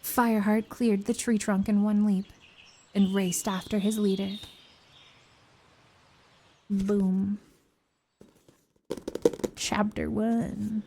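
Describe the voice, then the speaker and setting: A young woman streamer with a hoarse, worn-out voice, chatting casually in a rambling way after reading a book aloud. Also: fairly high pitch, dark tone, a little hiss or hum. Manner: chatty and rambling, with many fillers and giggles.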